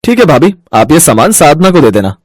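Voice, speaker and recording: A man's voice: a little high, sing-song, brisk, no echo. A man playing a plain-spoken, helpful man of the household in a family story. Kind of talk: acted family story dialogue.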